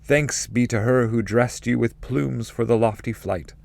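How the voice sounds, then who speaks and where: A man voicing a solemn, loving ancestral spirit in heaven, declaiming slowly and with joy in an epic poem reading. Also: mid-range voice, gravelly, a little mellow, pitched above the performer's own voice. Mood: loving, joyful. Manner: slow, exalted declaiming. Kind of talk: dramatic reading of epic poetry.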